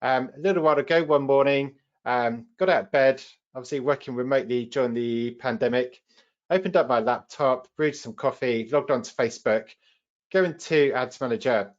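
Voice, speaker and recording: A man's voice: medium pitch, normal speed. A man with a British accent hosts a live online training session, explaining things in an informal, practical way as the trainer. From United Kingdom. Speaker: webinar host and trainer. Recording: slightly dull webinar audio.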